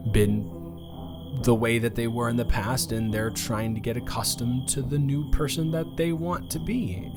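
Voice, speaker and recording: A young man playing a nervous, earnest, sweetly awkward college student in a romantic audio roleplay, stammering and rambling with emphatic repetition. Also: medium pitch.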